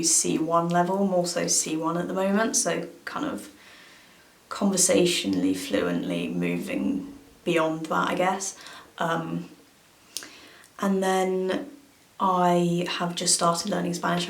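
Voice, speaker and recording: Hesitant young woman, gravelly. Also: lowish voice, expressive, unhurried. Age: young adult. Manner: hesitant, many fillers and hedges. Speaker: language-learning vlogger. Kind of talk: casual talk to camera. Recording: echoey room.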